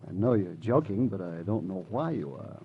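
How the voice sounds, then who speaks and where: An actor playing an urbane, breezy lawyer who talks in a polished, offhand, bluntly casual way in an old radio drama. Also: male voice, low-pitched, expressive, normal speed. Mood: guarded, blunt. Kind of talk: 1950s radio crime drama acting. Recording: muffled old radio recording with noise.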